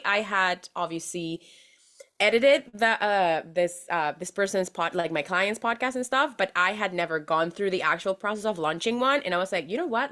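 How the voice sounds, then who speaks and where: A young woman from Venezuela talks as a podcast guest over a video call. Her voice sits a little low, and she speaks animatedly, laughing often and restarting sentences. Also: fairly bright tone. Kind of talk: casual interview chat.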